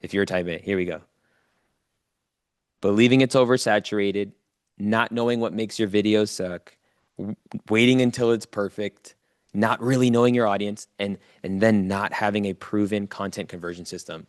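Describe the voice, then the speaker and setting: A man's voice: fairly low pitch, fairly even intonation, moderate pace, no room sound, clean studio sound. A man giving a motivational coaching talk to a live online audience, speaking informally and directly to listeners and repeating key phrases for emphasis.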